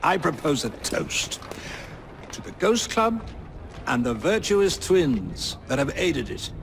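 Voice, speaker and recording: An actor playing an eloquent, thoughtful Victorian gentleman in a video game, formal and theatrical, with an English accent. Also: on the high side, sing-song, creaky, a little hiss or hum, heavily acted. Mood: gracious. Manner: formal, deliberate. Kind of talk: video game cutscene acting.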